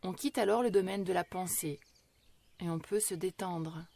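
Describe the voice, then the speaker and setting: A woman guiding a yoga relaxation meditation in a slow, measured, gentle and soothing way, with long pauses. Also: on the low side, vocal fry. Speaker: yoga nidra meditation guide.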